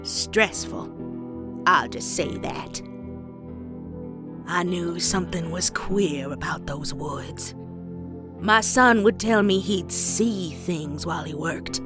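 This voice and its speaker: A woman voicing a stern, bitter old farm grandmother pony in a comic dub, with a Southern US accent and folksy, deliberate delivery. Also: on the low side. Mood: grave, confessional. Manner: folksy, slow, deliberate storytelling. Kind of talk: dramatic character acting in comic dub. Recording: dramatic music behind.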